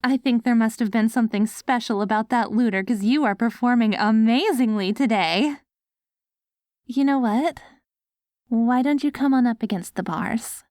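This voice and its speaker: A woman playing an affectionate post-apocalyptic wife in an ASMR audio roleplay, coaxing and gently praising her ghoul husband. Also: fairly high pitch, normal speed, dry recording, studio quality, lightly acted. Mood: pleased, fond.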